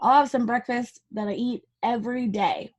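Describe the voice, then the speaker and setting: A woman's voice: on the high side, normal speed, darkish tone, close to an everyday voice. A woman voicing a fussy male friend in a children's storybook who loves routine and complains in a put-upon way. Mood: exasperated.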